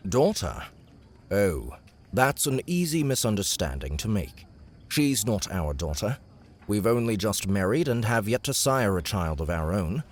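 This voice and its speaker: Courtly, animated fantasy king. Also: on the low side, measured pace. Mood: explaining. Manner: courtly, formal, theatrical. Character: genial, gracious fantasy elven king, tall and lanky. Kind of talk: audiobook character acting.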